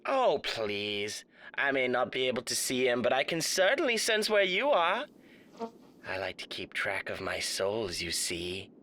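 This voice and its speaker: A man's voice: mid-range voice, wildly swooping pitch, unhurried, noisy background. A man voicing a suave, menacing radio demon in a theatrical roleplay monologue, mock-polite, pompous and taunting. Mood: accusing.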